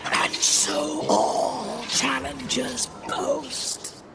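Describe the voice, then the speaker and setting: Imperious undead arena master, very slow. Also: sits high, level tone, vocal fry, exaggerated voice. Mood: menacing. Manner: declamatory, theatrical, drawn-out. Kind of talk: fantasy game dialogue. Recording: loud music behind the voice.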